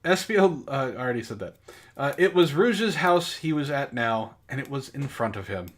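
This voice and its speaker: A man with a mid-pitched voice reads amateur fiction aloud as a co-host. His style is casual and comedic. He keeps a mock-serious delivery and slips in joking asides. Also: sing-song, moderate pace. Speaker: podcast co-host and story reader.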